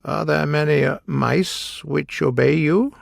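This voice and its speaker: An earnest, friendly scarecrow, as a man reading a storybook does his voice, a little higher than his usual tone. He sounds reassuring. The voice has a gravelly edge and the recording is dry. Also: unhurried, no echo, exaggerated voice.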